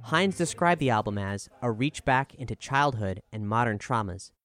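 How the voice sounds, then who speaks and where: A man narrating a scripted music review in a measured, articulate, formal way. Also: medium pitch, fairly bright tone, smooth, no room sound, clean studio sound. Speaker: narrator reading an album review.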